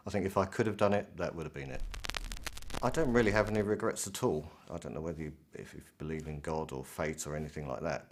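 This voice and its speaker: A British man in middle age with a low-pitched voice gives a reflective career interview. He is articulate and measured, sometimes hesitating mid-phrase as he gathers his thoughts. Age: middle-aged. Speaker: senior air traffic control manager.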